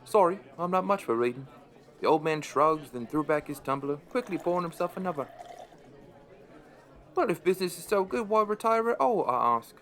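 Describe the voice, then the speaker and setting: A man's voice: a little high, lively intonation, normal speed, fairly bright tone. A man playing a middle-aged pub landlord who narrates a radio play in a dry, understated, wry way. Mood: curious, dry.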